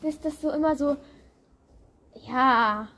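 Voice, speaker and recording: A woman's voice: sits high, slow, pushed and bright. A woman co-hosting a casual podcast game, often searching for words, in a slightly noisy amateur recording. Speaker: podcast host. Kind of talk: casual chat, guessing game. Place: outdoors.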